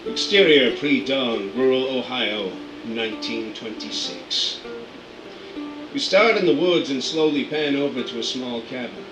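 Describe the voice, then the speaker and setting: A man's voice: medium pitch, measured pace, music in the background. Man reading scene directions in a roomy room. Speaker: narrator reading stage directions. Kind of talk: radio play scene directions. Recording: roomy, distant mic, air conditioning hum.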